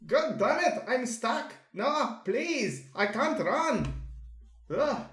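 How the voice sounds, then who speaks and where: An excitable young otaku artist full of self-doubt, acted by a man. The pitch swings widely over a slow delivery in an echoey room. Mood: frustrated.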